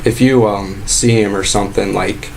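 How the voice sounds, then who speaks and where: A man playing an aggrieved fast-food worker making a halting, rambling, repetitive complaint in a casual way. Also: lowish voice, steady tone, a little mellow. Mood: earnest, indignant. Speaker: prank caller posing as a sandwich shop employee. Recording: clearly noisy phone prank recording.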